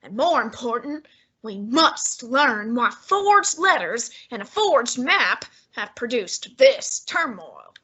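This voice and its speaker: A woman playing a fussy, imperious, heavyset male detective in a student radio drama, speaking in a haughty, deliberate, commanding way with a breathy tone. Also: fairly high pitch, very animated, pushed and bright, exaggerated voice, poor audio.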